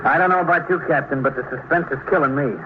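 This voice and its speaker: A man's voice: on the high side, quick pace, lightly acted. An American man playing a talkative, wry laundromat worker in a 1950s radio drama. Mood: wry, chatty. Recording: dull old radio recording, faint noise.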